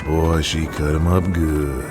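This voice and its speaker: A man's voice: slow, music in the background. A man playing a huge drawling wrestler. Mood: easygoing. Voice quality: low, relaxed drawl. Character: huge laid-back male wrestler, drawling. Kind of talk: audio drama acting.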